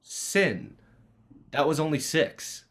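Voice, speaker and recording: A man performs a defiant teenage schoolboy in a dark comic play. The voice is bright and forceful, with big melodramatic swings of pitch. He takes his time, cheeky and sarcastic. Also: expressive, takes it slowly, exaggerated voice. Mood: sly. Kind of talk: dramatized play script reading.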